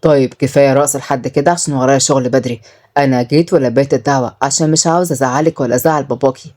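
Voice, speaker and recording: A man's voice: medium pitch, steady tone, racing, a little mellow, pitched below the performer's own voice, natural voice. A woman voicing a wealthy, widowed government minister, a reserved and fatherly but stern man in an audio story.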